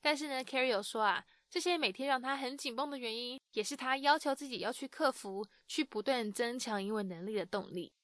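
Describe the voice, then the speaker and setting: A woman's voice: a little high, fairly quick, few pauses, a bit bright, no room sound. A woman host narrating a summary of an interview for listeners in a friendly, explanatory way. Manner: friendly explanatory tone with sentence-final particles. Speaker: podcast host summarizing in Mandarin. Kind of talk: scripted narration.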